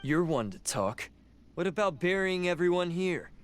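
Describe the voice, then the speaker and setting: A man's voice: high voice, animated, moderate pace, faint music behind. An actor playing a sly male ronin who mocks his boss while double-crossing him. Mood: smug.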